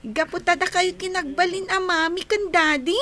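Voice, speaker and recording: Very high-voiced, sweet little girl. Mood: sweet gratitude. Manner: sweet, slow, childlike. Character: affectionate, obedient little daughter on her birthday. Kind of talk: Ilocano radio drama acting.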